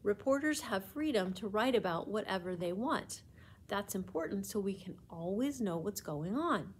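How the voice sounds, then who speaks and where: A woman reading aloud as a patient female schoolteacher in a storybook, explaining things to children in a firm, measured way. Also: mid-range voice, expressive, a little hiss or hum, lightly acted.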